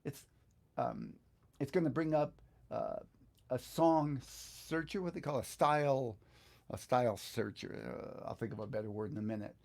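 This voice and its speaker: A man's voice: medium pitch, moderate pace, vocal fry, darkish tone. Middle-aged man giving an informal tutorial. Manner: conversational, thinking aloud. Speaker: guitar instructor, video host. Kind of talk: informal step-by-step screen tutorial.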